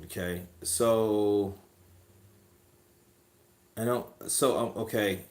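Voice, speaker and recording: A man hosting a boxing livestream, chatting casually in a rambling, profane, animated way. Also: on the low side, extremely slow, roomy sound.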